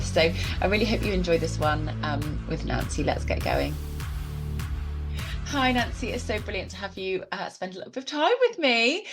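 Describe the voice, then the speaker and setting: A woman hosting a podcast, giving an upbeat scripted introduction and then chatting encouragingly with her guest. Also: fairly low pitch. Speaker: podcast host. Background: music under intro.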